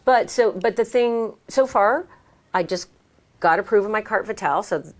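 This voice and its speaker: An American woman chatting casually as an interview guest, telling her experiences in an animated, exclamatory way. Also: medium pitch, moderate pace. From United States. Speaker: interview guest, American who moved to France. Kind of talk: casual conversational storytelling.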